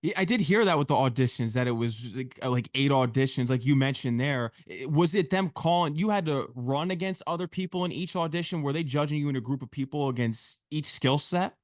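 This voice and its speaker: A man's voice: mid-range voice, brisk, hardly any pauses, no echo, clean studio sound. A man hosting an interview, asking questions casually with some hesitant phrasing. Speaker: podcast host interviewer.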